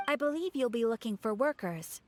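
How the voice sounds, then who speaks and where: A woman's voice: on the high side, lively intonation, a little fast, heavy background noise, natural voice. A woman voicing a courteous, composed guild receptionist in a video game, with a formal, businesslike manner. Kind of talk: English video game dub.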